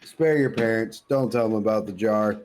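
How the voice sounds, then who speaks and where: Mellow-voiced man in wry podcast banter. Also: lowish voice, moderate pace. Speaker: podcast co-host. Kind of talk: casual comedic podcast chat.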